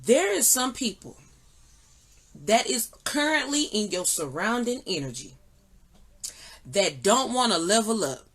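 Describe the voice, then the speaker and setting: A woman's voice: a little high, very animated, measured pace, pauses often, fairly bright tone. A woman chatting casually and candidly to her viewers, slangy and emphatic, repeating phrases for effect. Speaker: online tarot and energy reader, channel host. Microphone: phone or similar device propped nearby. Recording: noisy recording with clicks.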